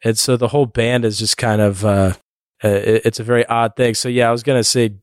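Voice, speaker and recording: A man's voice: medium pitch, fairly even intonation, fairly quick, no room sound, clean studio sound. A man hosting a casual podcast, asking questions and recapping what his guests say in a conversational way. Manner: conversational, leads with questions, recaps others' points. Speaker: podcast host. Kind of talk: casual discussion.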